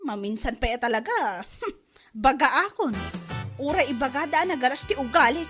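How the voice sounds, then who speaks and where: A woman's voice: sits high, lively intonation, moderate pace, fairly bright tone, soft background music. A woman with an Ilocano accent playing a proud, complaining wife talking to her husband in a comedy drama. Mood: aggrieved.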